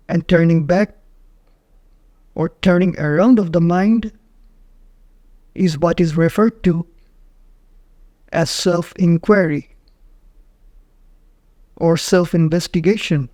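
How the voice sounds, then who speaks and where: A man narrating a guided meditation in short, measured phrases with frequent pauses. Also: a little high, warm tone, dry recording, studio quality.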